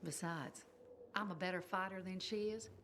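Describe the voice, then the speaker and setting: A woman's voice: on the low side, moderate pace, a bit bright, close to an everyday voice. An actress playing a confident, protective young female fighter in a video game, blunt and self-assured but open. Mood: firm, confident. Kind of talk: video game cutscene acting. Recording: clear background noise and ambience.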